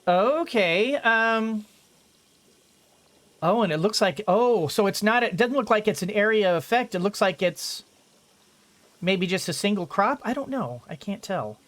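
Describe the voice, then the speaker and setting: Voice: medium pitch, expressive, a little slow, a bit bright. A game streamer giving casual commentary, rambling and thinking aloud while playing.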